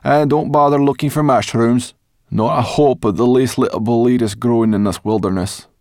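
Grumpy female brownie for a fantasy audiobook, male reader, raised creaky voice, cross, bossy, sarcastic. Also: mid-range voice, steady tone, normal speed, darkish tone, no room sound, studio quality, voice pushed up, natural voice.

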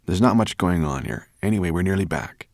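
A man's voice: sits low, big pitch swings, brisk, gravelly, a little mellow, dry recording, clean studio sound, full character voice. A man voicing an eager young boy in a storybook reading.

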